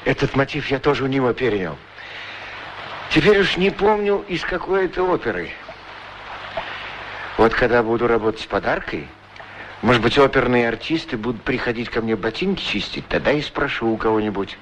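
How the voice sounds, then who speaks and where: A man's voice: mid-range voice, brisk, lightly acted, low-quality recording. Actor as wistful, lilting middle-aged shoeshine man. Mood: wistful, musing. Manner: ruminative, humble, folksy, courteous. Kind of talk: radio play acting, musing monologue and dialogue. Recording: radio drama recording with steady noise.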